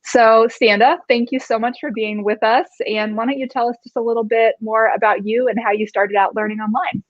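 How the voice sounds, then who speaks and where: A woman's voice: a little high, steady tone, fairly quick, no room sound. Female host, conversational and encouraging; dull, dry, lo-fi sound. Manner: warm, supportive, conversational. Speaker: interview host. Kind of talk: hosting an interview.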